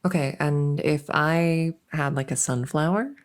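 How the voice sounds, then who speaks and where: A woman's voice: deep voice, measured pace. A woman voicing a silly, awkward monster who lives under a bed, rambling and stammering earnestly in a comedic ASMR roleplay. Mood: tentative, probing. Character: silly, awkward female monster living under a bed, bungling her job of scaring. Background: writing sounds.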